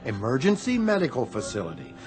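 An actor playing an easygoing, patronizing policeman calming a suspect in a radio drama. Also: mid-range voice, brisk. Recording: faint music under radio drama.

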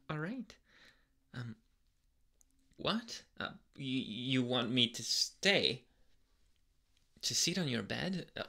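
A man's voice: mid-range voice, pushed and bright, full character voice. A man playing a flustered tsundere anime boy with a secret crush, stammering and hesitant in an intimate ASMR boyfriend roleplay. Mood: flustered, awkward, then surprised, embarrassed.

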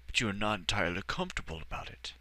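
Narrator's kindly old male archmage, measured and gentle. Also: lowish voice, sing-song, no room sound, voice pushed up, full character voice. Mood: calm, reassuring.

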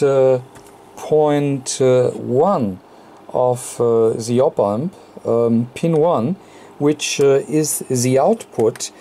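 Very slow, halting man giving a tutorial. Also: mid-range voice, warm tone, a little hiss or hum. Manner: halting, frequent hesitations mid-phrase. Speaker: electronics tutorial host. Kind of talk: unscripted technical demonstration. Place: indoor electronics workbench.

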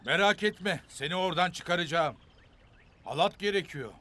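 A man voicing a steady, protective grown warrior in a children's cartoon, commanding and reassuring. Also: high voice, moderate pace. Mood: urgent, reassuring. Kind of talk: animated children's adventure cartoon acting.

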